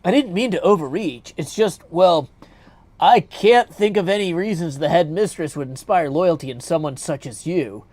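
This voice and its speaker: A man voicing an earnest young goddess in a video game, with formal, measured, polite phrasing. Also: a little high, a little mellow, dry recording, voice pushed up, natural voice.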